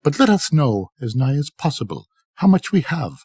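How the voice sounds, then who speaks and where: A man's voice: a little high, very animated, normal speed, darkish tone, breathy edge, no echo, studio quality, pitched above the performer's own voice. An older man voicing an eager, polite wife in an old folktale, with formal, earnest speech.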